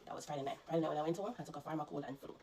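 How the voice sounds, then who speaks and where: A woman's voice: low-pitched, rapid-fire, mellow. A Jamaican woman vlogger, congested and coughing, earnestly recounting her illness to her viewers and warning them. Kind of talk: personal storytelling to viewers.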